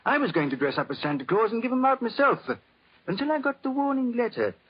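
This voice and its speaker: A man's voice: very high-pitched, very animated, fast talker, breathy edge, full character voice. A man acting in a 1940s radio drama with a British accent, playing a genteel, anxious, well-off lord. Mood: worried. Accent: British English. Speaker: actor playing a client. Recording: muffled, band-limited 1940s radio recording.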